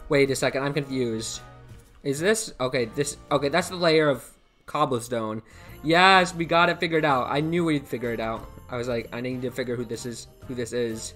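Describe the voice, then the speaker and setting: A man's voice: mid-range voice, moderate pace, fairly bright tone, soft background music. A young American man hosting a live game stream, chatting casually, reading out chat messages and thanking viewers by name over a headset mic. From United States. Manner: rambling, repeats himself, thanks viewers by name. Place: indoor room at a computer.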